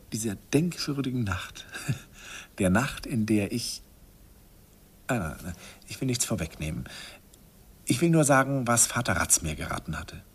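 A man's voice: on the low side, husky, heavily acted. An actor narrating as an older man wistfully recalling his youth in a classic radio play. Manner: wistful storytelling, chuckling. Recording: hissy old radio recording.

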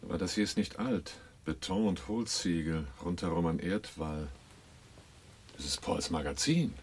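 A man's voice: sits low, expressive, moderate pace, a little mellow, slight background noise. An actor in a German radio drama playing a wary male fugitive boss who is always on guard. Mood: tense, deliberate. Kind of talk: German radio drama acting and first-person narration.

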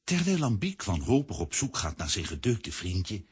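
A man's voice: fairly low pitch, big pitch swings, normal speed, breathy edge. A man narrating a children's audio play as a scripted storyteller.

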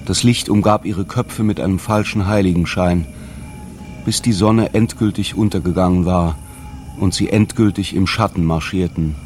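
Casting: low male narrator for a radio drama, solemn and measured, faint ambience behind. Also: darkish tone, a little hiss or hum.

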